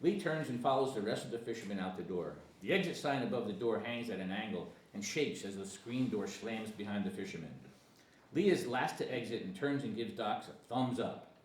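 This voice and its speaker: A man's voice: on the low side, fairly quick, distant mic. A man reading stage directions at a comedy screenplay table read, sometimes stumbling over words. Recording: echoey room with faint noise.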